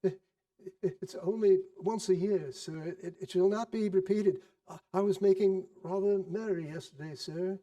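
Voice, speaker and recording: A man's voice: high-pitched, normal speed, dark tone, pitched above the performer's own voice, lightly acted. Man as a meek, stammering clerk. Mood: timid, apologetic. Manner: stammering, timid. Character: meek, apologetic, frightened male clerk. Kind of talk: theatrical storybook reading. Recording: distant microphone.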